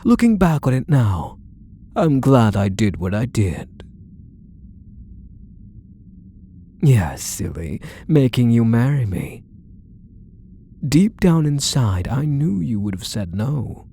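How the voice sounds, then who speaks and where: A possessive yandere husband, acted by a man, murmurs in an intimate ASMR roleplay with doting, quietly menacing condescension. His voice is mellow and creaky, with pitch that rises and dips widely. He coaxes softly and lets his endearments linger. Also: sing-song, slow pace, exaggerated voice. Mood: doting, smug. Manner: soft, coaxing, condescending endearments, lingering phrases.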